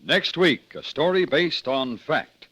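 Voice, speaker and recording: A man's voice: on the high side, very animated, slow, fairly bright tone, slightly rough, dry recording, dull audio. An American man announcing a 1950s suspense radio program in a scripted style. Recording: old radio broadcast recording.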